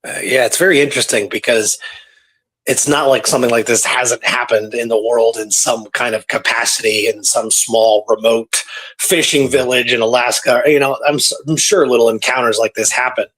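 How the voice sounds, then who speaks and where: A man talking casually through a historical story as co-host, musing and hesitant, circling back over his phrases in a slightly husky voice. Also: medium pitch, fairly even intonation, moderate pace, a bit bright, poor audio.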